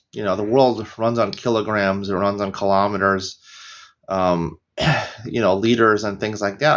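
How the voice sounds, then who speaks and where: A middle-aged American man teaching a casual daily Talmud lesson, rambling into opinionated asides and often clearing his throat. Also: lowish voice, a bit bright, muffled recording. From United States. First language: English.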